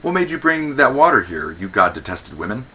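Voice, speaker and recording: A man's voice: medium pitch, very animated, fairly quick, pushed and bright, slight background noise, pitched above the performer's own voice. A man playing quarrelsome, blustering old Athenian men in a verse comedy, hurling declamatory insults. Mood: scornful.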